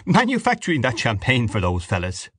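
A man voicing a wry older Irish friend of the family, amused and low-key. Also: wildly swooping pitch, brisk, a bit bright, husky, dry recording, studio quality, full character voice.

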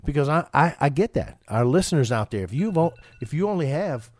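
A man's voice: a little high, very animated, a little fast, darkish tone, dry recording. A man hosting a financial radio show, talking casually and often restarting his sentences with repeated words.

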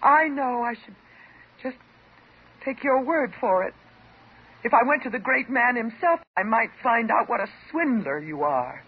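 An American actress playing an intense, unstable psychotherapy patient, theatrical and emotionally volatile, in a 1950s radio drama. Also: female voice, on the high side, big pitch swings, a little slow, slightly rough, exaggerated voice. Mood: bitter, taunting. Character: intense, unstable woman psychotherapy patient in love with her doctor. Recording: dull, hissy 1950s radio recording.

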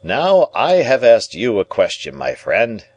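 A man performs a shrewd, domineering middle-aged lawyer from a storybook. His voice is low and he speaks deliberately, leaning on his words like a cross-examiner. He is pedantic and commanding. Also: wildly swooping pitch, husky, heavily acted. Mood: curt, triumphant. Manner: emphatic, interrogating, deliberate, pedantic. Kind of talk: audiobook character dialogue.

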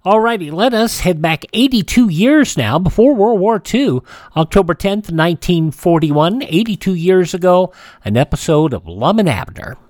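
A man hosting a classic radio show in a relaxed, rambling chat. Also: sits high, normal speed, no room sound. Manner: relaxed, rambling, with many fillers. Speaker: radio host. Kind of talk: casual chat.

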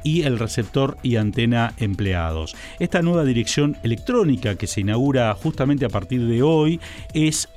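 An Argentine man presents a radio program at a studio microphone. His pitch sits in the middle range, and his delivery is formal and measured, like a seasoned announcer. Faint music plays quietly underneath. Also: expressive. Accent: Argentine Spanish. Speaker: radio host. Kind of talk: radio talk show presenting.

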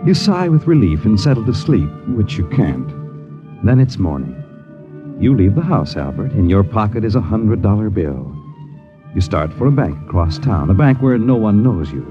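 Suspense narrator, ominous, mocking, deliberate, low-pitched, 1950s radio drama, music underneath, muffled recording. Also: male voice, very animated. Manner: dramatic, deliberate, addresses character as you. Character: ominous, taunting second-person storyteller. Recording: dull vintage radio recording, music behind.

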